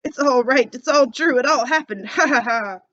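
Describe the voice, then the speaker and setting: A woman voicing an elderly male miser who is overjoyed, talking excitedly and loudly. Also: sits high, moderate pace, muffled recording, pitched above the performer's own voice. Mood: giddy. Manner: excited, shouting, laughing.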